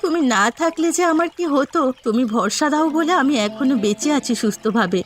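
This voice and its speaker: A woman playing a gentle, anxious young daughter of a poor village family, plaintive and pleading, in a melodramatic cartoon. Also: sits high, expressive, brisk, soft background music. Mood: sad, anxious.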